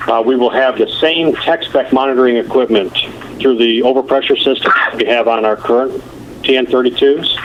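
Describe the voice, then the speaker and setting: A man giving a halting technical explanation as an engineer presenting on a telephone conference call. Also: mid-range voice. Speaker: nuclear plant engineer presenting. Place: conference call over the phone. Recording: muffled, noisy telephone conference line.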